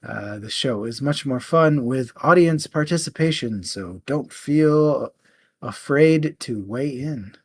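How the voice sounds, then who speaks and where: A man's voice: mid-range voice, animated, takes it slowly, a little hiss or hum. A man co-hosting a casual live book show, explaining things in an informal, chatty way. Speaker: co-host of a book discussion show.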